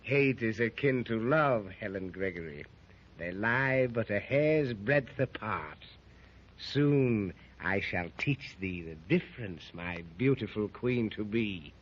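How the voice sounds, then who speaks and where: A man's voice: on the low side, lively intonation, slow pace. Haughty jungle king, pompous olde speech, menacing, muffled 1930s radio serial. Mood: gloating menace. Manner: pompous, archaic royal speech.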